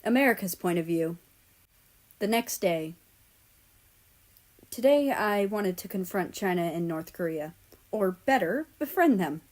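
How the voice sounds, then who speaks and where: A woman reading fiction aloud as an expressive narrator. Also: medium pitch, unhurried, pauses often. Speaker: story narrator.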